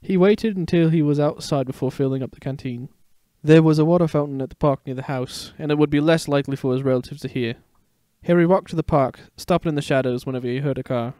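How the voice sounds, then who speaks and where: A man's voice: fairly high pitch, fast talker, mellow, no room sound, studio quality, natural voice. A man voicing a playful, protective young father in a dramatic story reading. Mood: reading aloud. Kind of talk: dramatic fan fiction reading.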